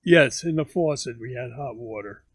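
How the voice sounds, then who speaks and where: A man in his nineties recalling his childhood for a family interview, speaking slowly with halting pauses. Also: medium pitch, slightly rough, no room sound. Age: 90s. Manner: slow, halting recollection with many pauses. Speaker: grandfather telling stories.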